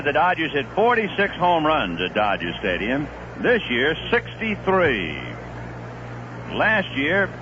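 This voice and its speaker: A man calling a baseball game play by play on radio, with a relaxed storytelling delivery that rises sharply on big plays, heard from an old 1970s broadcast. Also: a little high, lo-fi sound, noisy background. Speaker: play-by-play announcer. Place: broadcast booth at a stadium.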